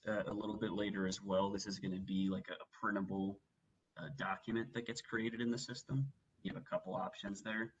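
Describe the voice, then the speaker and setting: A man working methodically through a software walkthrough as a webinar trainer, explaining each step. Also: low-pitched, a little hiss or hum, poor audio. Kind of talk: instructional software walkthrough, semi-scripted presentation.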